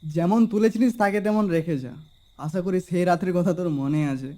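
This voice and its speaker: A man's voice: high-pitched, expressive, a little fast, warm tone. A man voicing a loyal, plucky talking carrot, eager and dutiful, in a comedy cartoon. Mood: defiant. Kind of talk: Bengali comedy cartoon dubbing.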